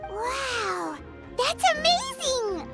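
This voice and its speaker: A woman voicing a small, talkative, childlike companion in a video game, squeaky and exclaiming, speaking of herself in the third person. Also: big pitch swings, drawn-out words, vocal fry, full character voice. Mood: amazed, eager. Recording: music playing behind the voice.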